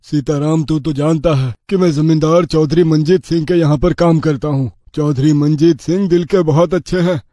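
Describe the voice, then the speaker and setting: A man acts a sly landlord's servant in a village cartoon, coaxing and consoling with fake sympathy. His voice is soft-edged, very mellow and a bit high, in a dry recording. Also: no echo, natural voice. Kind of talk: Hindi cartoon moral story dialogue.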